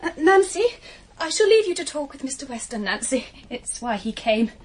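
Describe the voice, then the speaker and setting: Breathy, prim English governess. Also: female voice, high-pitched, big pitch swings, moderate pace, heavily acted. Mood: flustered. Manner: restrained, formal, prim. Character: earnest, reserved, principled young governess. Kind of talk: period radio drama acting.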